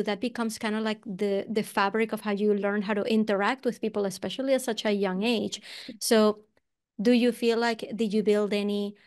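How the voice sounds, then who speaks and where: A woman's voice: medium pitch, clear, resonant tone. A woman podcast host from Venezuela, a native Spanish speaker with a Venezuelan accent, asking curious follow-up questions. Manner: curious, engaged, asks probing follow-up questions. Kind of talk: interview conversation.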